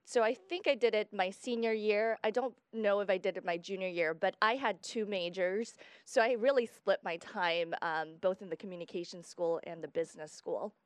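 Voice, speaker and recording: Young woman guest from Guatemala, mid-pitched, chatty, dry close sound. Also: moderate pace, hardly any pauses, clean tone, no room sound. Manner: chatty, explains at length with fillers. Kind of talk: casual interview chat.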